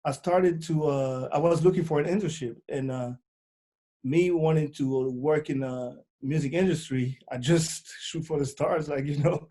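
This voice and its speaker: French-accented man, dark and hesitant. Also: a little high, steady tone. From Congo, born in France. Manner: halting, many fillers and restarts. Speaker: booking agent, guest. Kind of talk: casual interview.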